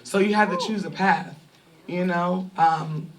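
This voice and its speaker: A woman in her thirties from California talks openly to a live audience at an event. She is animated and often breaks into laughter. Her voice sounds somewhat distant from the microphone. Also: lowish voice, unhurried. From Sacramento, California. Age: 30s. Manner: animated, laughing, loud bursts of excitement. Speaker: online creator speaking at fan event. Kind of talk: candid talk to a live audience.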